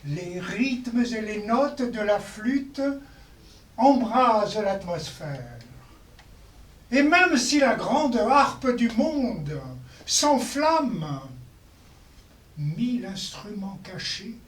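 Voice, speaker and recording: A performer reciting poetry in a deliberate, declamatory way with long pauses. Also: medium pitch, sing-song. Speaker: performer reading poems in a show. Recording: roomy, distant mic, faint noise.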